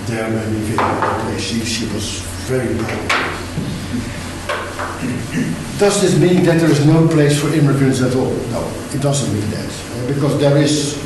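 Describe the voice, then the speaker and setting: A middle-aged man giving an academic lecture, speaking in a halting, self-correcting way with many restarts. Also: mid-range voice, expressive, noisy background. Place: lecture hall. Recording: very echoey hall, mic far off.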